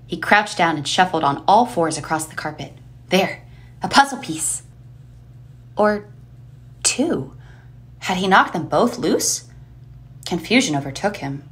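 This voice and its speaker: Woman storyteller, slightly low voice, expressive and measured, reading a scripted tale. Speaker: narrator.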